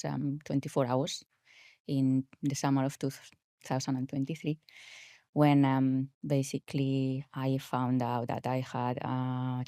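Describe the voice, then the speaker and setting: Woman, Spanish accent, low pitch, hesitant with fillers, dry close sound. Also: dark tone, no echo. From grew up in Spain. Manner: hesitant, many fillers and restarts. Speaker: podcast guest, health coach. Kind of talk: casual interview talk.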